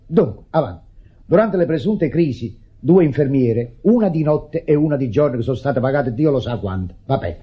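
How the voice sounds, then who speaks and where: An actor with a Neapolitan accent playing a bitter, suspicious middle-aged brother in a radio drama, sarcastic and stammering when flustered. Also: male voice, mid-range voice, lively intonation, normal speed, husky. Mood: sarcastic, accusing. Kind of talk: stage-style Italian radio drama acting. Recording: muffled old radio drama recording.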